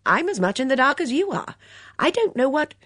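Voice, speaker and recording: Audiobook female reader as hostess: cheerful, composed, brisk, raised lively pitch. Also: dry recording, studio quality. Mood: puzzled. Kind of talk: audiobook character acting.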